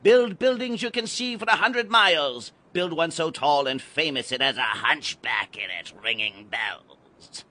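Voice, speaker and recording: An actor playing a mysterious, grandly macabre guide to young boys in a dramatized audiobook, declaiming like an incantation. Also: male voice, a little high, expressive, measured pace, hardly any pauses, full character voice. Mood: urging, rousing. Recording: loud music under the voice.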